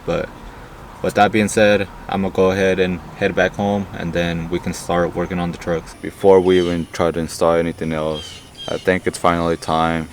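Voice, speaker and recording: A young man with a deep voice in a casual vlog, talking plainly while working on a truck engine outdoors. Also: slight background noise. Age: young adult. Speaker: car vlogger. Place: in a pickup cab and outdoors at an engine bay.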